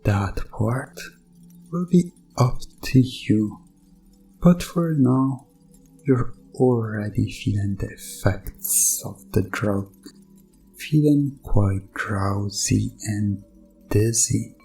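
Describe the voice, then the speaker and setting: A man's voice: lowish voice, creaky, mellow, faint music behind, heavily acted, rough recording. A man playing a sinister doctor in a hypnosis ASMR roleplay, soothing and commanding his test subject. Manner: slow, commanding, soothing, deliberate countdown-style delivery.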